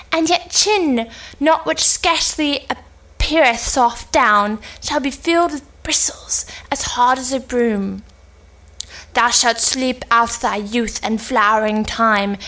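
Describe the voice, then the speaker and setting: A woman's voice: a little high, fairly bright tone. A woman playing a scheming, menacing old sorceress in a cold read of an Elizabethan stage play.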